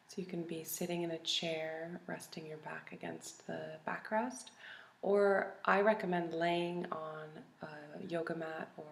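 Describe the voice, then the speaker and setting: A woman leading a guided body scan meditation in a calm, gentle, soothing manner with long pauses. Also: on the low side, gravelly. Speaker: meditation teacher. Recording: roomy, echoey recording.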